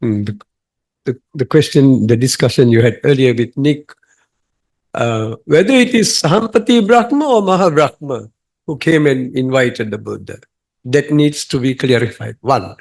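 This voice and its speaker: A young adult man from Singapore, a monk, making an earnest, emphatic comment from the audience at a talk, halting with fillers. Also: medium pitch, gravelly, darkish tone. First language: English. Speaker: monk asking a question from the audience.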